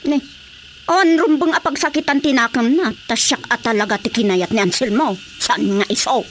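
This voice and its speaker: Woman acting a high-voiced, lovestruck Ilocano villager. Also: moderate pace, a bit bright, a little hiss or hum, natural voice. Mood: indignant. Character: lovestruck, indignant woman sure of her suitor. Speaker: actor in a radio drama. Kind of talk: Ilocano radio comedy drama acting.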